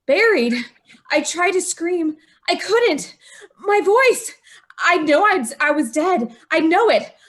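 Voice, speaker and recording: An actress playing a young bride driven to murder, speaking with breathless anguish in an old-time radio drama. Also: female voice, very high-pitched, expressive, slow, a bit bright, exaggerated voice. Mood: desperate.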